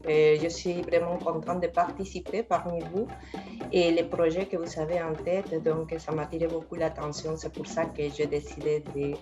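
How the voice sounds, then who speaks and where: A woman's voice: low-pitched, flat delivery, moderate pace, lo-fi sound, music in the background. A woman whose first language is Spanish, speaking French with a Spanish accent, introducing herself hesitantly at a casting session. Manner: hesitant, laughing, many fillers. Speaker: actor auditioning.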